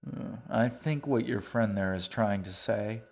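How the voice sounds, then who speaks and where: A man voicing a genial, wordy older gentleman in an audiobook, with formal, long-winded speech. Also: on the low side, unhurried. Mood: kindly. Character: genial old bearded man, wordy and grand. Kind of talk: audiobook character voice.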